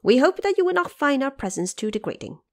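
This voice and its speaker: Woman doing a male monster flatterer, audio drama, raised pitch, lively swings, servile, eager. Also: fast. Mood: humble flattery. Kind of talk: audio drama character acting.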